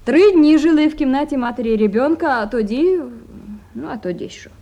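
A woman's voice: high-pitched, animated, fairly quick, dark tone. An actress playing a poor, anxious village mother with a Ukrainian accent, rambling and plaintive in a naturalistic radio drama. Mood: plaintive, apologetic.

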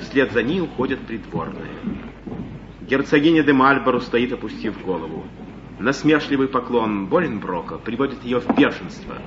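A male narrator with a medium-pitched, lively voice. He reads scripted stage action over a noisy, muffled old broadcast. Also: moderate pace.

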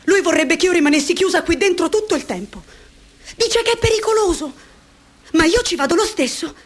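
An actress in a radio drama playing a lonely, dreamy young woman who talks softly and slowly, musing to herself. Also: very high-pitched, animated. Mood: tender, dreamy. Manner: soft, hushed, slow and musing.

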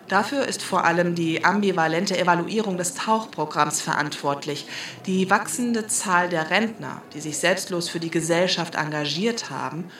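A woman's voice: fairly low pitch, moderate pace, fairly bright tone, lightly acted. An actress playing a glib bureaucratic spokeswoman, explaining things in officious, jargon-laden language. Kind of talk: mock-official interview statement in satirical drama.

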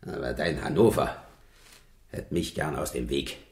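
A man's voice: extremely low pitch, a little slow, a little mellow, roomy sound. An actor playing a blustering, vain, middle-aged king in a German radio play, imperious and volatile, swinging between muttering and outbursts.

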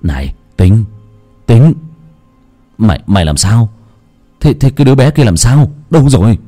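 A man voicing a frightened village man in a horror story, shouting after his brother. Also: mid-range voice, very animated, normal speed, soft background music. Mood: alarmed. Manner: alarmed, shouting.

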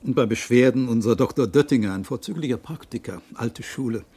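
An actor playing a genteel older small-town pharmacist in a 1960s radio play, formal and deferential, with a gravelly, husky voice. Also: male voice, mid-range voice, a little fast, warm tone, lightly acted. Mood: courteous.

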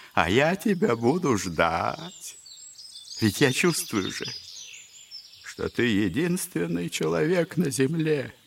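A sentimental old father in a radio play, with a gravelly voice that swings widely in pitch. He coaxes tenderly in slow, drawn-out phrases, heard at some distance from the mic. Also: a little hiss or hum, full character voice. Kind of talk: audio drama acting.

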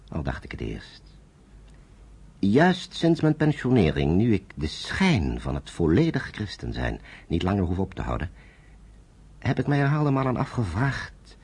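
An older retired general with a very husky, low voice. His delivery swings widely in pitch, formal and thoughtful, in the measured manner of a radio drama. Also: a little mellow, heavily acted. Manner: formal, deliberate, reflective, with dramatic pauses. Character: thoughtful retired English general, courteous and introspective.

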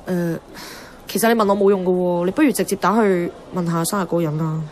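An actress playing a self-deprecating, wistful young career woman in a Hong Kong Cantonese radio drama, expressive and colloquial with English words mixed in. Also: lowish voice, fast talker, a little hiss or hum, natural voice. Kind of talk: radio drama dialogue and monologue.

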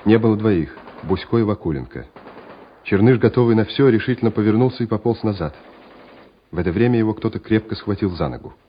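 A man's voice: lowish voice, expressive, brisk, slight background noise. A man narrating a war radio play in a scripted, dramatic storytelling style. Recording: dull radio recording with music behind.

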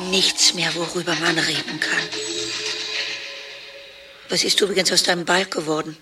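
An actress playing a chattering, bickering sister in a radio play, teasing and echoing the words of others. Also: female voice, on the low side, fairly even intonation, normal speed, close to an everyday voice. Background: music.

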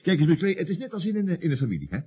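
A man's voice: on the high side, very animated, rapid-fire, husky, highs cut off. An actor playing an eager, well-meaning estate agent in a radio comedy, stammering and earnest as he talks. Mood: flustered, modest. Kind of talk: radio comedy drama acting.

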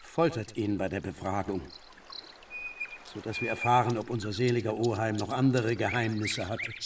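An actor playing a stern, brooding master of court painters in a German radio play, speaking gravely and deliberately. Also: male voice, on the low side, fairly even intonation, noisy background, natural voice.

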